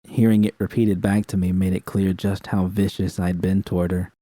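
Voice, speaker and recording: A man's voice: low voice, little pitch movement, moderate pace, dark tone, dry recording, clean studio sound. A man narrating a first-person fan story aloud in an earnest, storytelling manner. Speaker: reader.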